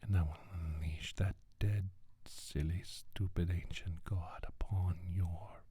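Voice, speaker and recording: A man playing a menacing alien scientist in an ASMR roleplay, speaking in a breathy, raspy near-whisper with slow, imperious deliberation. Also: low-pitched, fairly even intonation, warm tone, heavily acted. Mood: cold menace. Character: menacing alien scientist bent on destroying humanity. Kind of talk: soft-spoken ASMR roleplay monologue.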